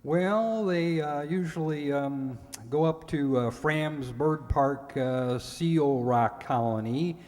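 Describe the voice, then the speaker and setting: A man's voice: on the high side, a little mellow. A man playing an earnest, literal-minded ship's purser who gives hesitant answers as a radio quiz contestant. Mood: matter-of-fact. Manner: hesitant, halting, plainly literal. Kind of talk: recreated old-time radio quiz show. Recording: echoey hall with long reverb.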